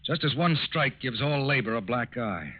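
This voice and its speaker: An actor playing a steady, determined federal agent in a 1940s radio drama, terse and assured. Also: male voice, mid-range voice, moderate pace, lightly acted. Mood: satisfied, firm. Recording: muffled, very noisy 1940s radio recording.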